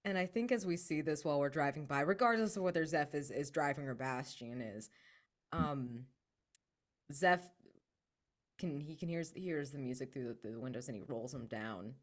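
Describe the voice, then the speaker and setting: A man narrating an improvised scene as a tabletop game player, expressive and dramatic. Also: a little high, normal speed.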